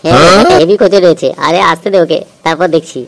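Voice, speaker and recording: A woman's voice: fairly low pitch, rapid-fire, slight background noise, lightly acted, low-quality recording. A woman voicing a cheeky young male village prankster in a comedy cartoon, with slangy, teasing banter. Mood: scheming.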